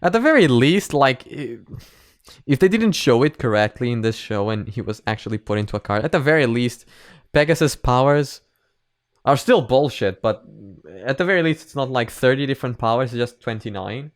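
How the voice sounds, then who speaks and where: Clear-voiced man giving casual commentary. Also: medium pitch, expressive, normal speed. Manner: casual swearing, emphatic explaining. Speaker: reaction video host and commentator. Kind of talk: casual reaction commentary and analysis.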